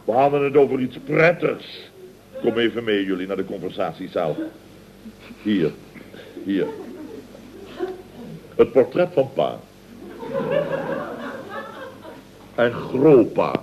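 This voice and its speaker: Pompous, wildly animated middle-aged boss. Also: male voice, fairly low pitch, a little slow, long pauses, crowd noise. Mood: pompous, pleased. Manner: blustering, stammering, repeating himself, chuckling. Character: pompous, excitable, sentimental middle-aged building contractor boss. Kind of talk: 1970s Dutch radio comedy acting. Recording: dull vintage radio recording.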